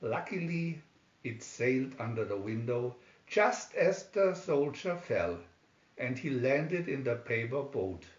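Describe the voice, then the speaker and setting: A man reading a fairy tale aloud as scripted narration, with a deliberate, halting delivery. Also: mid-range voice, sing-song. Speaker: storybook reader. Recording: echoey room, dull lo-fi sound.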